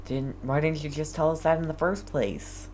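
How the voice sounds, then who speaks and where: A man's voice: a little high, normal speed, darkish tone, slight background noise. A man giving short, casual reactions as he plays through a story game. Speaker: gamer playing along.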